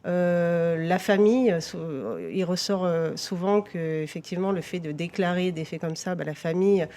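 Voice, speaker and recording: A woman's voice: on the low side, fairly even intonation, moderate pace, warm tone. A woman police victim-support officer, a guest on a TV talk show, explaining her work with frequent fillers and restarts.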